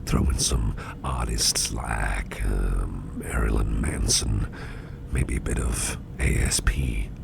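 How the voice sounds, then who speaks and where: A man playing an attentive, easygoing businessman boss in a soft, breathy, whispery ASMR roleplay, unhurried and soothing, murmuring close to the microphone. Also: background noise, exaggerated voice. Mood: relaxed, musing. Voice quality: very low, gravelly, breathy near-whisper. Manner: unhurried, soothing, indulgent, musing aloud.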